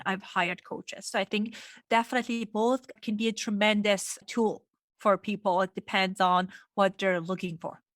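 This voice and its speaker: A woman's voice: medium pitch, sharp tone, no room sound. Woman psychologist from Iran, close dry sound. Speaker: guest, clinical psychologist and sex therapist. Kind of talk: podcast interview, reflective explanation.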